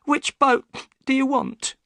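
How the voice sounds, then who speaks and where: High-pitched, tearful, worried wife. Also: male voice, little pitch movement, slow pace, bright, forceful tone, dry recording, clean studio sound, exaggerated voice. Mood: wary, upset. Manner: deferential, plaintive. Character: large, upset, worried boatman's wife, tearful.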